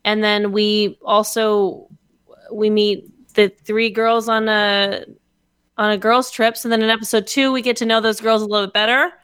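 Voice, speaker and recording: A woman on a comedy podcast, recapping episodes and filling pauses with ums and uhs. Her voice is clear and smooth, recorded dry and close. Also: mid-range voice, level tone, a bit bright, no room sound. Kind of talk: casual comedy podcast chat.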